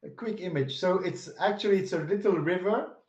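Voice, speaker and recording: A man's voice: high voice, a little fast, a little mellow, echoey room, lo-fi sound. A man from Belgium, a native French speaker with a Belgian French accent, chatting hesitantly with many fillers as a guest on a video call. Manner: hesitant, lots of fillers while sharing screen. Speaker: web developer guest. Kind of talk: casual video-call interview.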